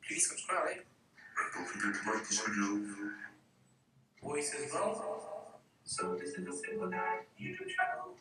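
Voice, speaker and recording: A man's voice: a little high, huge pitch swings, a little slow, pauses often, pushed and bright. Male demo voice through voice changer effects, heavily reverberant and distant, faint noise. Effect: voice changer presets, shifting from clip to clip. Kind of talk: short recorded demo clip. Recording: very echoey, distant, faintly noisy playback.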